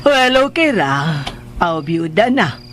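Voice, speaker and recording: Slow-talking, self-assured Filipina aunt. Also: female voice, on the low side, big pitch swings, a little hiss or hum. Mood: breezy. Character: breezy, self-assured widowed visiting aunt. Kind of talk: Philippine radio drama acting. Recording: music behind the voice.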